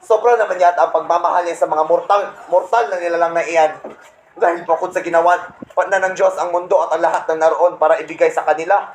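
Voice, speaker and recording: A man's voice: on the high side, steady tone, fast, lightly acted. A man playing a proud, resentful Satan who tells his schemes indignantly in a comedic radio play. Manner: boastful, indignant storytelling. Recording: roomy echo, some background noise.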